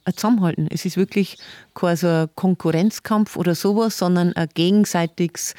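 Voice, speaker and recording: A woman, a city tour guide talking in a relaxed podcast interview, chatty and warm, often laughing and repeating words. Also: fairly low pitch, no room sound, clean studio sound.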